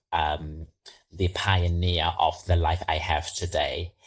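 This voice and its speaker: Deep, slow-spoken man from Zimbabwe. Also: breathy edge, rough recording. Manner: slow, thoughtful storytelling. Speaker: podcast guest. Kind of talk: reflective interview answers.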